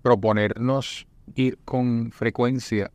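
A man talking casually among fellow writers, making measured, thoughtful suggestions. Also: mid-range voice, steady tone, darkish tone, no echo. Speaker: guest poet. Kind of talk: casual conversation.

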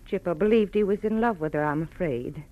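A woman's voice: on the low side, lightly acted. An actress playing a sweet, brave gray-haired mother, anxious and hesitant, in an old-time radio drama. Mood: wistful, earnest. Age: middle-aged. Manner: hesitant, halting, genteel. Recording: muffled vintage radio recording.

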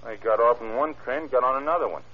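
A man's voice: mid-range voice, fast talker, slightly rough, lightly acted. An actor playing a defiant, surly male drifter under suspicion in an old-time radio crime drama, answering curtly and defensively. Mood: guarded, curt. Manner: curt, defensive. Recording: muffled vintage radio recording.

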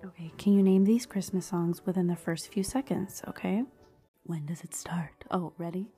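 A woman vlogging in a hushed half-whisper, slightly hoarse, reacting to quiz questions with bursts of excited disbelief. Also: fairly low pitch, moderate pace, faint music behind. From Cuban family background. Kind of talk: casual chat, reading quiz questions aloud.

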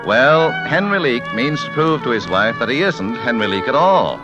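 A man's voice: medium pitch, very animated, moderate pace, highs cut off. A man working as a 1940s radio announcer, formal and emphatic, on a vintage recording. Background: music.

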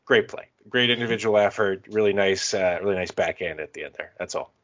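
Male co-host, deep low voice, rambling fan humor, lo-fi audio. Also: a little fast, a bit bright. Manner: rambling, self-deprecating, joking. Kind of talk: casual podcast chat.

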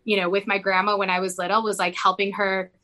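A woman's voice: mid-range voice, little pitch movement, quick pace, bright, forceful tone. A young woman who grew up in Mexico, talking casually in an interview, rambling with lots of "like" fillers. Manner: rambling, heavy use of "like" fillers.

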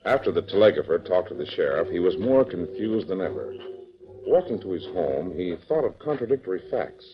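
A man giving steady, dramatic scripted narration for a radio drama on an old recording. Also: deep voice, fairly quick, highs cut off, music in the background.

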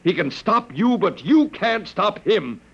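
An actor playing a weary, wry human survivor in a classic radio drama, halting and informal, with a gravelly edge. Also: male voice, high-pitched, sing-song, exaggerated voice. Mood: defiant.

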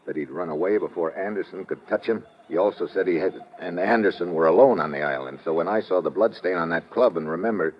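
An actor playing a tough, wry adventure hero in vintage radio drama, with clipped, slangy delivery and first-person narration. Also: male voice, low voice, fast, hardly any pauses. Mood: hushed, tense. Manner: clipped, hard-boiled, slangy. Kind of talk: 1940s-50s radio adventure acting. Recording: dull old radio recording with music.

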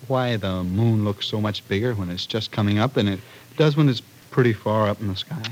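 An actor playing an easygoing young family man in an old radio drama, talking in a casual, halting, folksy way. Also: fairly low pitch, close to an everyday voice, low-quality recording. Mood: relaxed.

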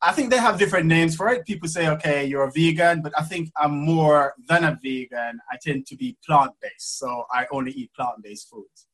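A man from Jamaica with a Jamaican accent, a guest in an interview who speaks hesitantly with many pauses and laughs easily. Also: on the high side, fairly bright tone, poor audio. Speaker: guest florist. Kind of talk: casual interview chat.

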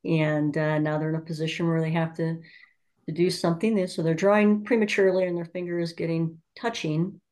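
A woman with a slightly low voice talks on a training panel. She speaks hesitantly, with many fillers and restarts. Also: little pitch movement, brisk, a little mellow. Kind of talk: panel discussion.

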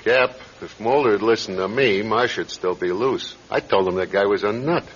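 An actor in an old radio crime drama playing a skeptical, hard-boiled police sergeant who talks in a brusque, sarcastic, interrogating way. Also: male voice, fairly low pitch, normal speed, slight background noise, natural voice. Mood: decisive. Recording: dull old radio recording.

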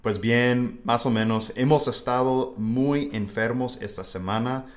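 A man's voice: medium pitch, fairly even intonation, measured pace. A man from the United States, a native English speaker, hosting a casual language-learning podcast. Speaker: podcast host. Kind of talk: casual educational podcast conversation.

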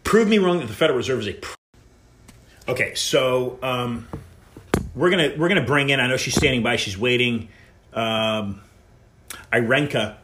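A male talk-show host speaks in a bright, forceful mid-range voice. He rambles and restarts his sentences and keeps hammering the same challenge. He fills his pauses with ums while he sets up his guests. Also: mid-range voice, a little hiss or hum. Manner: rambling, full of fillers and restarts, insistent. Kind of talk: live talk show hosting.

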